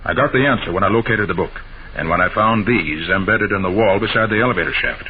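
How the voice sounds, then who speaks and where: An actor playing a shrewd, confident master detective with an assured, emphatic delivery in an old-time radio drama. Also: male voice, fairly low pitch, fast, a crowd in the background, close to an everyday voice. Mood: confident, explaining. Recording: noisy, muffled old radio broadcast.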